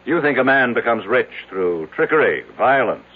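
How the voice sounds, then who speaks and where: A man's voice: mid-range voice, big pitch swings, moderate pace, breathy edge, narrow, tinny audio. A male actor with an American accent playing an arrogant, self-made, skeptical businessman in brash old-time radio drama style, on a noisy old recording. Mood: defiant. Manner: brash, self-assured, declamatory.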